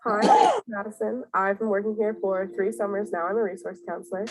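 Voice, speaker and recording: A woman's voice: mid-range voice, big pitch swings, a little fast, rough recording. A woman in her twenties, a camp resource counselor speaking in an articulate, composed way. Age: 20s. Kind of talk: testimonial interview.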